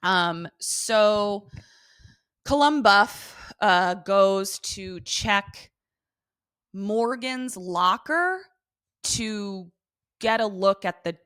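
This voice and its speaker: A woman's voice: medium pitch, expressive, drawn-out words, pauses often, a bit bright, clear, resonant tone, no echo, clean studio sound. A woman co-hosting a casual TV recap chat, talking in slangy, sweary, informal language. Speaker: podcast co-host.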